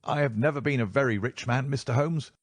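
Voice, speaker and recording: A man's voice: medium pitch, a little fast, dry recording, clean studio sound, voice pushed up, close to an everyday voice. A man voicing a nervous, frightened fat older man with a reedy, quivering voice. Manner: anxious, pleading.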